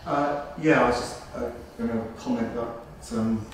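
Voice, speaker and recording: A man taking part in a workshop, speaking hesitantly and filling pauses as he adds a comment. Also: medium pitch, steady tone. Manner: hesitant, with fillers. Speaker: workshop participant. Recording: very echoey room, faint noise, mic far off.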